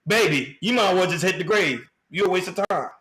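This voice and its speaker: Male co-host, thirties, fairly high-pitched, blunt and casual, recorded with noticeable room echo. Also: lively intonation, a little fast, pushed and bright. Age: 30s. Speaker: podcast co-host. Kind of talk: opinionated casual panel talk.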